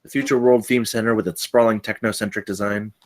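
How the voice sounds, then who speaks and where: A man's voice: lowish voice, fairly even intonation, quick pace. A man narrating stage directions from a screenplay at a table read.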